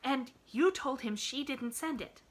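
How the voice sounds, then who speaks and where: A woman voicing an excitable young rural housemaid who speaks in a country dialect and gushes breathlessly. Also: sits high, a little slow, pitched above the performer's own voice. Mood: excited.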